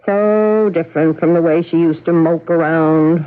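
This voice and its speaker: An actress in a vintage radio play voicing a gentle, fretful middle-aged mother, fond and coaxing, a little hesitant. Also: low voice, unhurried. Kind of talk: old-time radio drama acting. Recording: narrow muffled vintage radio recording.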